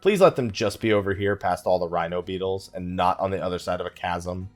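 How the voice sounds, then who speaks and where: A man's voice: low voice, fairly quick, faint music behind. A man hosting a gaming let's play, chatting casually in a rambling, joking way with mock exasperation and self-mockery. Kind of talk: casual chatty game commentary.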